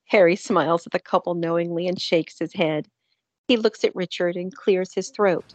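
A woman's voice: on the low side, steady tone, moderate pace, darkish tone. A woman reading scripted narration for a radio play.

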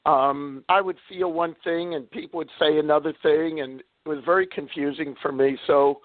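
A man, a little hoarse, chatting as a guest on a radio interview, laughing often and using many fillers. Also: on the high side, steady tone, moderate pace, no room sound. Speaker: guest author. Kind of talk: casual interview talk. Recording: tinny phone line.

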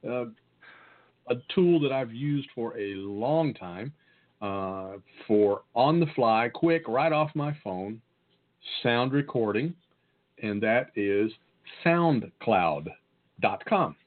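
A man's voice: medium pitch, expressive, slow pace. A man with a Southern US accent chatting casually as a podcast co-host, sharing tech tips. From Southern United States. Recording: phone-like, narrow-band audio.

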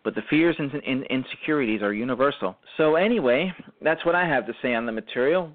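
A man hosting a radio show, speaking in a halting way that often restarts and repeats phrases as he gives commentary. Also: medium pitch, dry recording. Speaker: radio show host and writer-performer. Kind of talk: show commentary, semi-prepared talk. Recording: muffled lo-fi audio.

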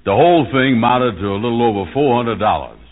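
An actor playing a gullible, lovelorn man after money, with slow, folksy, ungrammatical talk, in old-time radio comedy style. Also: mid-range voice, animated, natural voice. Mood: confiding, eager. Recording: muffled 1940s-50s radio recording.

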